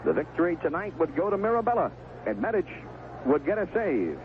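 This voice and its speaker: A man giving live 1970s radio play-by-play of a baseball game, describing each pitch in short, clipped phrases. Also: big pitch swings, a little fast. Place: outdoor ballpark broadcast booth. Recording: noisy, tinny narrowband vintage radio broadcast.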